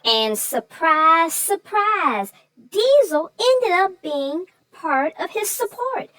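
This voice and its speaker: A woman voicing a curious, sassy child sidekick who reacts to a story with drawn-out phrases. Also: very high-pitched, sing-song, bright, forceful tone, dry recording, pitched above the performer's own voice, heavily acted, poor audio. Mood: curious, thoughtful.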